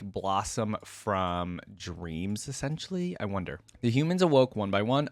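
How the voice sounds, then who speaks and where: A man's voice: mid-range voice, no room sound. A man hosting a reaction channel, talking casually and reading aloud as he comments. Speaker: host of a game lore reaction channel.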